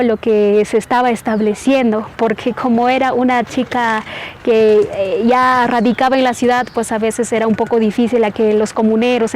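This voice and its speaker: A woman's voice: a little high, a little mellow, a little hiss or hum. A woman explaining informally and hesitantly, often checking in with tag questions.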